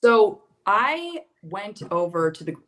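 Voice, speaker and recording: A young American woman, a guest on a podcast, telling stories about moving abroad in a chatty, animated way. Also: medium pitch, slow. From United States. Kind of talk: casual podcast conversation.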